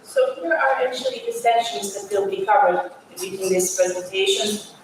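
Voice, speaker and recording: A woman with a Bosnian accent and mid-pitched voice presents research formally, as a PhD student would at a conference. The auditorium is very echoey, and she sounds some way from the mic. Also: moderate pace. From Bosnia and Herzegovina. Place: large auditorium. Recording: very echoey hall, distant mic, faint noise.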